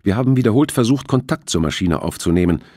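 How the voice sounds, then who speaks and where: A man's voice: on the low side, animated, quick pace, warm tone, dry recording, studio quality, voice pushed up. A man voicing a businesslike French police official giving clipped reports in an audiobook.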